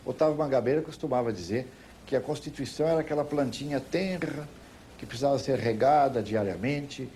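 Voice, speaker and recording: An older Brazilian man reminisces as a veteran interviewer. His voice is soft-edged and mellow, his phrasing deliberate and emphatic. Faint noise from an old TV tape sits under him. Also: mid-range voice. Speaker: veteran television political interviewer. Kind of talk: reminiscing, recounting a story.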